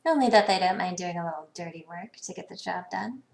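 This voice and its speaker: A woman acting a savvy, poised businesswoman in a video game, speaking in a dry, sardonic, measured way. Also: on the low side, gravelly. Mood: cool, sardonic.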